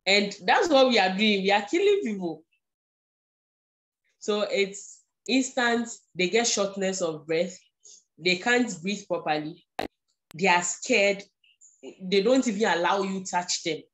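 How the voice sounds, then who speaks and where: Slow-speaking Ghanaian woman explaining patiently. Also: mid-range voice, animated, low-quality recording. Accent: Ghanaian English. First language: not English. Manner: patient, explanatory, repeats key points, often checks in with "okay". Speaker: guest speaker on mental health. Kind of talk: live-streamed explanatory talk.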